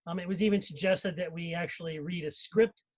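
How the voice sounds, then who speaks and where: A man with a high-pitched voice talks casually on a group video call. He explains things conversationally to his fellow cast members. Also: fairly even intonation, a little fast. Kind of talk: casual chat on a group live stream.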